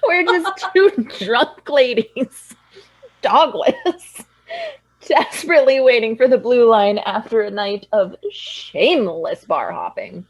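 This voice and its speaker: A woman's voice: fairly high pitch, animated, takes it slowly, muffled recording, full character voice. A young actress playing a tipsy, dry-witted woman from a small town in a radio drama. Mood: wry, tipsy.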